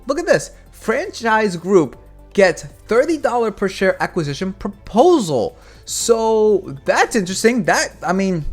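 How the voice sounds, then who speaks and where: A young man from Cuba hosts a finance channel, talking loosely and rambling as he turns to his viewers. His pitch rises and falls a lot as he talks. Faint music plays under his voice. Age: 20s. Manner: rambling, animated, addresses viewers as guys. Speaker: finance YouTuber. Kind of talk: casual market commentary.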